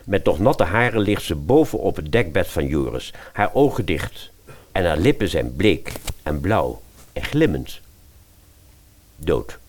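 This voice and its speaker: A man's voice: deep voice, sing-song, a little slow. A man narrating a radio fairy tale in a dramatic storytelling style.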